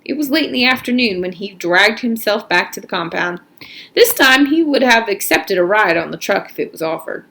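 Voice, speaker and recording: Animated woman reading a story aloud. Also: medium pitch, moderate pace. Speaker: read-aloud narrator. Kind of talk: storybook read-aloud narration.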